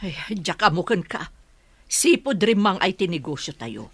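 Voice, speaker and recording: A woman's voice: lowish voice, sing-song, moderate pace, a bit bright, husky, no echo, full character voice. A woman acting as a sensible middle-aged mother in a radio drama, advising expressively. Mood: admonishing.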